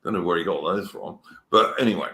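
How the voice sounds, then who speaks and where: A man's voice: low-pitched, fairly quick, pushed and bright. A man co-hosting a casual political chat, opinionated and rambling, often restarting his sentences. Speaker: podcast co-host. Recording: echoey room.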